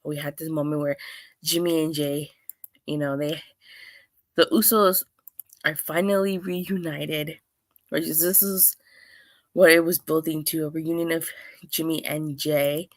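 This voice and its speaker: A woman with a hoarse, clogged voice from being under the weather, hosting a chatty solo review with lots of restarts. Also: deep voice, little pitch movement, unhurried, pauses often, no echo, clean studio sound. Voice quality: clogged, stuffy voice. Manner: chatty, rambling, with many restarts and fillers. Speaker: wrestling show reviewer, host. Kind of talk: casual solo review talk.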